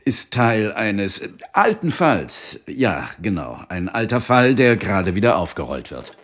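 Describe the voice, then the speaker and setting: An actor playing an affable London police inspector and ghost hunter in a naturalistic radio play. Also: male voice, fairly low pitch, sing-song, measured pace, a bit bright, heavily acted. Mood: evasive, affectionate.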